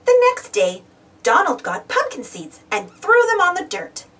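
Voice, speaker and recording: A woman reading a children's storybook aloud in an animated narration style. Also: very high-pitched, a little slow, heavy background noise. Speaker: storybook reader. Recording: slightly distant mic, music bed. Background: music.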